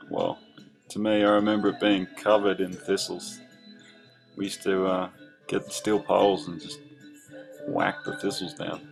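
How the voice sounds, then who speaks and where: A young Australian man casually telling the story of his childhood, rambling with lots of fillers over soft background music. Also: fairly low pitch, vocal fry, poor audio. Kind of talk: casual narration over drawings.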